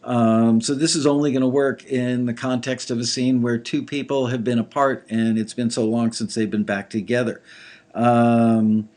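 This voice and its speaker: A man with a slightly gravelly voice giving casual live commentary on listeners' songs, thinking aloud with lots of fillers. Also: mid-range voice, level tone, normal speed, a little mellow. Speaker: music industry reviewer critiquing listener songs.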